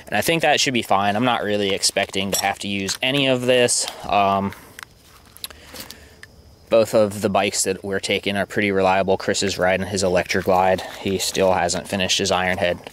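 Young man, low-ish voice, relaxed rambling vlog delivery, faint outdoor hiss. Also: normal speed. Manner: relaxed and rambling, with many fillers. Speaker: motorcycle vlogger. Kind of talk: casual show-and-tell narration. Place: outdoors at a campsite.

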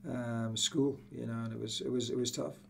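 A middle-aged man with an English accent, reminiscing casually as an interview guest, often pausing with you-knows. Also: mid-range voice, steady tone, creaky, a little hiss or hum. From Newcastle, England. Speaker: soccer coach, interview guest. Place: small indoor room with acoustic panels. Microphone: podcast boom microphone.

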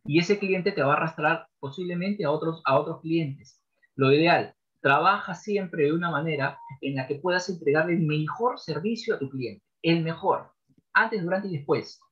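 Male sales coach, 40s, higher voice, punchy and repetitive delivery, dull webcam sound. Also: moderate pace, fairly bright tone. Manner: emphatic, repeats key phrases like a trainer. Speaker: sales trainer guest. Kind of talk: podcast interview by video call. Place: home office with bookshelves.

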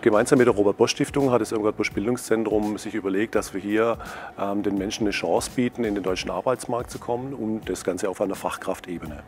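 A middle-aged man speaking into a lapel mic, calmly explaining a nursing training program as its instructor, with a few fillers. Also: on the low side, a little hiss or hum. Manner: measured, explanatory, some fillers. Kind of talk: interview statement.

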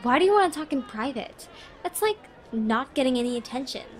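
A woman playing a vain, bossy, attention-seeking high-school queen bee in a comedic audio drama, haughty and dismissive. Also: expressive, a little fast.

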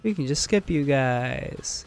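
A man streaming live and joking through a game, his voice cracking and going hoarse, on a headset mic. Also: mid-range voice, lively intonation. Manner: joking, sarcastic, quick asides, giggly. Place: home streaming room, indoors. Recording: game music in background.

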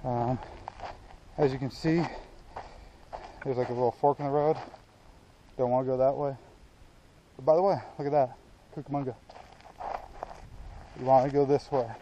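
An American man with a medium-pitched voice talks casually to his camera as an outdoor vlogger. His delivery is plain-spoken and easygoing, pausing mid-phrase as he goes. The action-camera audio is clearly noisy, recorded out in the open. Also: fairly even intonation, warm tone, highs cut off. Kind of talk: casual off-the-cuff chat to camera. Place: outdoors on an open hilltop trail. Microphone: action camera at arm's length.

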